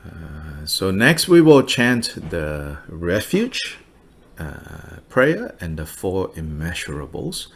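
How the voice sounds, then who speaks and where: Lively, gravelly-voiced man teaching dharma. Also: sits low, slow, a little hiss or hum. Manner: relaxed, laughs often, many "uh" fillers. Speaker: Buddhist teacher. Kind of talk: dharma teaching over video call.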